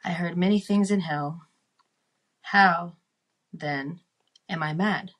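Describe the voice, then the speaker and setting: Lady acting out a nervous killer from a horror story, lowish voice, halting n dramatic, swears they're sane. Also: fairly bright tone. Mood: nervous, insistent. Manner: deliberate, dramatic, halting delivery. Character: nervous obsessed murderer insisting on being sane.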